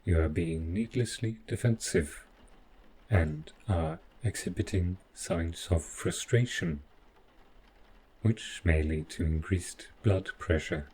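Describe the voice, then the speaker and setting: Man voicing a slow, deep, formal robot butler. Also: darkish tone, a little hiss or hum, rough recording. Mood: evasive, insistent. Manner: formal, measured, deliberate robotic phrasing. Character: overprotective male robot butler, evasive and stiffly polite. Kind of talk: scripted audio roleplay addressed to the listener.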